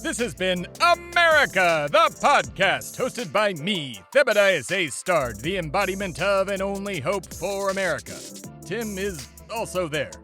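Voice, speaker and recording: A pompous male patriot played by a man holds forth as a comedy podcast host. His voice sits a little high and swings widely in pitch. He delivers everything with mock-serious grandeur, as if he were enormously important. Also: very animated, measured pace, over music. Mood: pompous, commanding. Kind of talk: mock-serious comedic monologue and dramatic reading.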